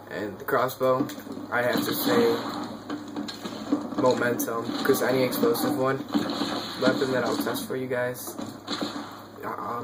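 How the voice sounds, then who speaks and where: A man's voice: medium pitch, heavy background noise. A man giving casual, offhand gameplay commentary, muttering asides to himself in a home recording with game sounds behind him. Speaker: gaming video creator.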